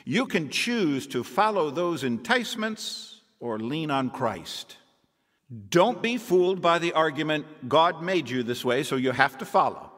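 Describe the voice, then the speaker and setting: A man's voice: a little high, lively intonation, measured pace, a bit bright, big-room sound. An actor playing an earnest, preachy reformed man turned missionary, telling his testimony in a radio drama. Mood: earnest, exhorting.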